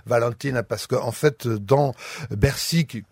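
Husky-voiced jokey radio host, a man. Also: medium pitch, unhurried, dry recording. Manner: jokey, teasing. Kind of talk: casual radio chat.

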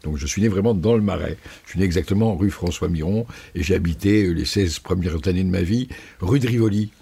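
An older man from Paris speaks in a low, gravelly voice with a husky edge. He tells his stories in a measured, cultured way as an interview guest. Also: mellow. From France. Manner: measured, storytelling, erudite. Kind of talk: conversational interview.

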